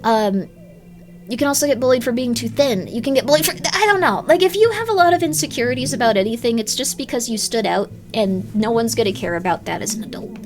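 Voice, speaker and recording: A young woman chatting on a live stream, her slightly high voice jumping up and down as she talks. She rambles through viewer comments with loads of "like" fillers and an easygoing pace. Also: expressive, fast talker. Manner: rambling, lots of "like" fillers, laughs often, swings up and down in pitch.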